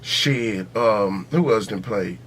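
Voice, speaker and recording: A man's voice: fairly low pitch, slow, a bit bright, slight background noise. An American man hosting a podcast, debating sports casually but heatedly, swearing freely and repeating himself to press his point. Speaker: podcast host.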